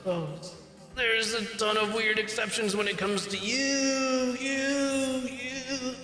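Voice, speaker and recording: An angry young man in thrall to a vampire acted out by a man talks in a high, bright, forceful voice. The manner is accusing and bitter, visual novel melodrama, with a long echo and music. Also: heavily acted. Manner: accusing, foul-mouthed, emphatic. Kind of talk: visual novel read-aloud with dramatic voice acting. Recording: echoing reverb, music behind.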